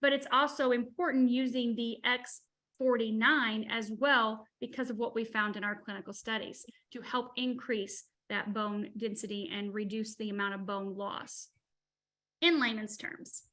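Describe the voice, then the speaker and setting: A woman's voice: on the high side, fairly even intonation, moderate pace, fairly bright tone. A woman in her forties explaining study results enthusiastically in an online presentation. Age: 40s. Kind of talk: presenting research in a webinar.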